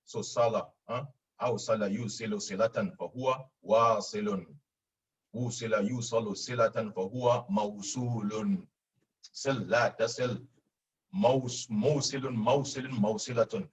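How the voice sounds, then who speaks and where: A man's voice: fairly low pitch, fairly even intonation, unhurried, distant mic, rough recording. A man teaching Arabic grammar in an online class, explaining patiently with fillers and repeats, sometimes acting out students' questions. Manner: explains patiently with frequent repeats and fillers, laughs at own jokes; acts out students' questions in a raised voice.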